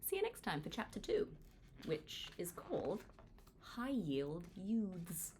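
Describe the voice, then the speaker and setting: A woman in her twenties from Hong Kong, reading her own book aloud as an earnest, lively audiobook narrator. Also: takes it slowly, a little hiss or hum. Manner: earnest, lively reading with wide pitch swings. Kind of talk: audiobook read-along narration.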